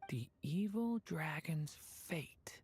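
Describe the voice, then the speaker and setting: A woman voicing a gentle, earnest young dragon boy in a video game. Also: sits low, expressive, extremely slow, slightly rough, no room sound, faint music behind, full character voice. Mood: thoughtful, hesitant.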